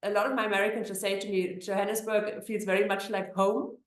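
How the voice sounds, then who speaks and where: A woman's voice: medium pitch, steady tone, fast talker, roomy sound. A woman from Germany with a German accent, an immigration attorney answering interview questions in a chatty way with many fillers and restarts.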